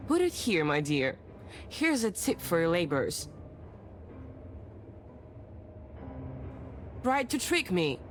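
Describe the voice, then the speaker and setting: A woman's voice: lowish voice, sing-song, measured pace, slight background noise. A woman playing a bold, imperious young journalist in a period visual novel, haughty and assertive. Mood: indignant. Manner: haughty, assertive, crisp commands.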